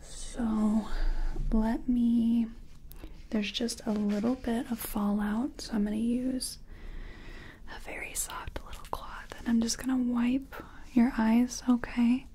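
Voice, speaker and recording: A woman whispering softly and gently in a breathy voice, reassuring the listener in a close-up ASMR personal attention roleplay as a makeup artist. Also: on the high side, flat delivery, slow, slight background noise. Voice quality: soft, breathy, mostly whispered. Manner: gentle, reassuring, murmured repetitions.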